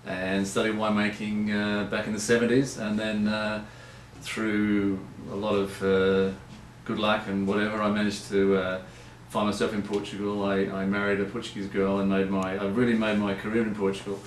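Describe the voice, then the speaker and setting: Low, flat Australian man, off mic. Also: recorded from a distance. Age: middle-aged. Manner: halting, many fillers and restarts, low and flat. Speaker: winemaker. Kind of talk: casual chat. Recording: roomy, from off mic, faint noise.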